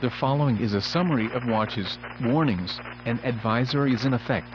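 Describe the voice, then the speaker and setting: A synthetic man's voice delivers formal weather alerts over the radio. The sound is dull and lo-fi, buried in heavy noise and hum. The delivery is measured and impersonal, at a medium pitch. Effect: weather radio broadcast. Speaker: automated weather radio announcer. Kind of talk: scripted emergency weather alert bulletin. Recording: muffled noisy weather radio broadcast, humming.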